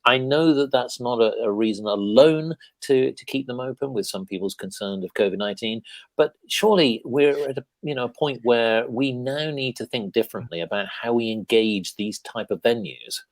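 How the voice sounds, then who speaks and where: A man's voice: medium pitch, normal speed, no echo. A man co-hosting a talk show, addressing listeners in a theatrical, conversational commentary style.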